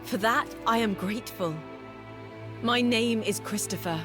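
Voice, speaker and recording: A woman playing a proud, stern, honor-bound warrior in a fantasy video game, speaking gravely and formally. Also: a little high, measured pace, music in the background, close to an everyday voice.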